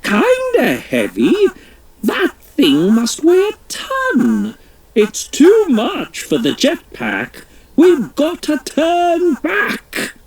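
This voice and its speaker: A storybook reader voicing a fussy, excitable queen in a high, shrill, dramatic voice. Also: slow, full character voice. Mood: alarmed. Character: excitable bossy queen with a jetpack.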